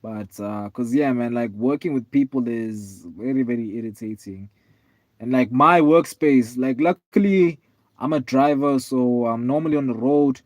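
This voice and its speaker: A man in his twenties, tired, chatting casually with viewers on a live stream in a relaxed, rambling way with lots of fillers. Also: mid-range voice, moderate pace, no room sound. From South Africa. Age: 20s. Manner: laid-back, rambling, many fillers, calls viewers man and bro.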